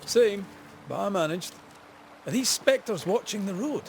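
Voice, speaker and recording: A man's voice: high-pitched, lively intonation, a little slow, gravelly, a little hiss or hum, exaggerated voice. A man playing a wry, confident young apprentice ghost hunter in a video game. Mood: alert. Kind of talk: game voice acting. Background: music.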